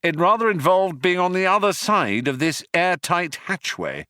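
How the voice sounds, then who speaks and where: A man's voice: sits high, sing-song, normal speed, sharp tone, clear, resonant tone, dry recording, pitched above the performer's own voice, heavily acted. A man voicing a flippant, resigned male alien traveller from a storybook, with a breezy, dry, offhand manner about doom. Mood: breezy, rueful.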